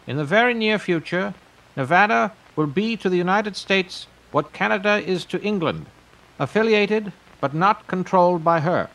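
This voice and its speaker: A high-pitched old tycoon, makes a grand prepared speech in an audio drama. He is formal and self-important, addressing his listeners like an orator. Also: animated, normal speed, fairly bright tone, poor audio. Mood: solemn, grandiose. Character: grandiose old billionaire in a recorded message.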